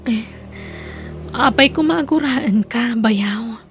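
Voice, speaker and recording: A woman's voice: a little high, slow, muffled recording, soft background music. An actress with an Ilocano accent playing a sweet, coy sister-in-law falling for a man in an audio drama. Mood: coy, hesitant.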